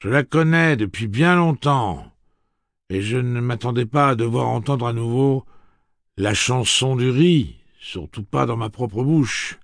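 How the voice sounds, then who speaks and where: A man's voice: medium pitch, unhurried, dry recording, clean studio sound, pitched above the performer's own voice, lightly acted. A man voicing an aging, weary gunslinger who speaks in a solemn, ceremonious way. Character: aged weary gunslinger, grave and formal.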